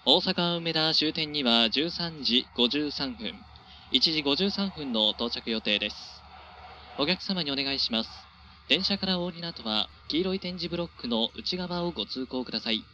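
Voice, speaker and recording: A man's voice: on the high side, animated, normal speed, sharp tone. A man working as a train conductor, making formal, polite announcements over the train's address system. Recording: dull train PA with faint noise.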